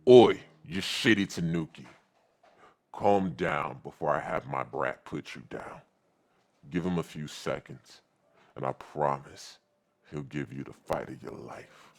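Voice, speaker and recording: An extremely low, gravelly demon fox. It speaks slowly and with menace, taunting and giving orders like a creature in charge. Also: male voice, heavily acted. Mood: menacing. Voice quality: gravelly growl. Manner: slow, threatening.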